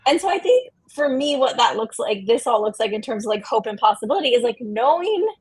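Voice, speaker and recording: A woman's voice: a little high, sing-song, a little fast, slightly rough. A woman giving casual, candid interview answers in very informal, conversational speech. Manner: informal, peppers talk with "like", candid. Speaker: company co-founder, interview guest.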